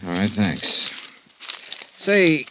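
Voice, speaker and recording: Cool skeptical detective, low laconic delivery with swinging pitch, faintly hissy vintage radio noir. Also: male voice, sing-song, drawn-out words, full character voice. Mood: cool, wry. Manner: laconic, understated, probing. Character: cool, skeptical male insurance investigator, hard-boiled detective. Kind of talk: 1950s radio noir acting. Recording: noisy vintage radio recording.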